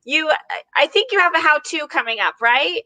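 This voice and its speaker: A woman's voice: high voice, animated, brisk, pushed and bright, low-quality recording. An American woman hosting a casual interview, offering short, encouraging affirmations. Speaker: host.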